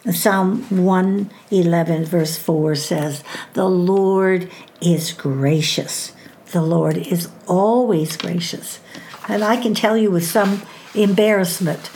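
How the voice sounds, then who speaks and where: An older woman giving a devotional talk on parenting and faith, speaking in a measured, emphatic way and slowing down on scripture references. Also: medium pitch, a little mellow.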